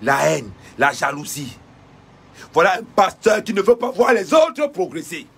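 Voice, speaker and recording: Husky high-voiced man ranting. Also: big pitch swings, measured pace. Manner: repetitive preacher-like cadence with shouted bursts. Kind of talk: impassioned denunciation.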